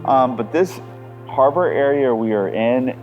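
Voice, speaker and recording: A man's voice: mid-range voice, a little slow, a little mellow, muffled recording, faint music behind. A man from the United States chatting casually in a travel vlog, talking easily and conversationally, recorded outdoors at a harbor. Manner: easygoing, conversational, loose with fillers. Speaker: vlogger.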